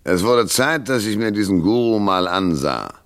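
An actor playing a wry, tough hardboiled private detective, narrating and trading dry banter in a radio noir. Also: male voice, on the low side, lively intonation, moderate pace, clean tone. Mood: wry narration. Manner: sardonic, dry first-person narration and sharp banter.

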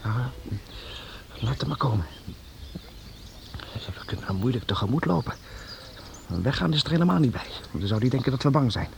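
A man's voice: medium pitch, lively intonation, moderate pace, gravelly, pauses often. An actor playing a polite, curious young painter's apprentice in a radio drama, courteous and a little hesitant in his speech. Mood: wary, calm. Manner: courteous, hesitant, stammering when addressing his elder. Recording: noisy old radio drama recording.

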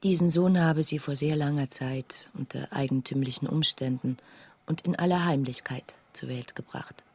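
An actress playing a woman who soberly relays her friend's story in a radio play, in a measured storytelling manner. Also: low voice, a little mellow, slightly rough. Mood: sober, insistent. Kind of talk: literary radio play narration.

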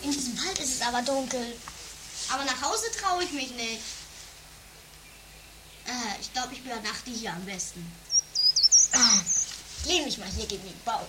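A woman's voice: fairly high pitch, normal speed, pauses often, heavy background noise, close to an everyday voice. A woman acting a timid runaway schoolboy in an improvised radio play, hesitant and stammering. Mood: scared, muttering. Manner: hesitant, stammering, muttering to self. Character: timid, scared runaway schoolboy alone in dark woods.

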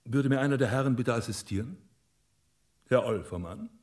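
An actor playing a suave, commanding stage hypnotist and mind reader in a radio drama, speaking formally and deliberately. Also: male voice, mid-range voice, a little mellow, close to an everyday voice. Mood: assured, commanding. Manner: deliberate, formal, polite but imperious. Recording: piano music behind the voice.

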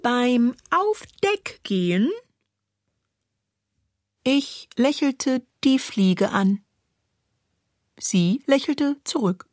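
A woman reciting literary prose in measured, deliberate phrases, as a spoken-word piece. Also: a little high, very animated, a little mellow, no echo. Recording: clear music bed behind voice. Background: music.

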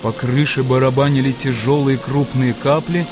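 Narrator, male, medium pitch, dramatic literary reading for radio drama, music bed. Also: steady tone, normal speed.

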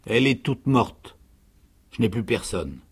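A man's voice: mid-range voice, very animated, gravelly, slightly rough, exaggerated voice. A man voicing a frightened, bewildered young peasant mother, murmuring hesitantly in a dramatic literary reading. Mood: bewildered, simple. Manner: soft, hesitant, halting murmur.

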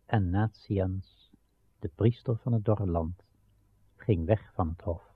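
A man narrating a radio play of old legend in a grave, measured, solemn storytelling style with dramatic pauses. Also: deep voice. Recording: muffled, narrow-band old radio recording.

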